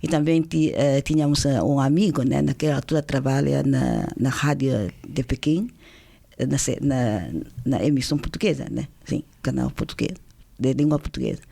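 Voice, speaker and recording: A woman's voice: rumbling low, moderate pace, gravelly, mellow. An older woman from China with a Chinese accent, answering interview questions in a casual, hesitant way with many fillers and restarts. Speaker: guest author.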